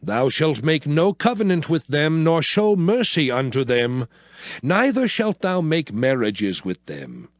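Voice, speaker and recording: A man's voice: a little high, sing-song, measured pace, no room sound, studio quality. An actor playing a solemn, commanding prophet who addresses his people in dramatized, oratorical scripture with archaic English. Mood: solemn warning. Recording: loud music bed under voice. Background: music.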